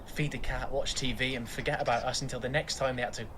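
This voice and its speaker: A weary, quietly grieving young father played by a man in a naturalistic audio play. His voice sits at an ordinary middle pitch, with some ambient noise around it. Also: fairly even intonation, quick pace, natural voice. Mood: quietly bitter. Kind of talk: naturalistic audio drama acting.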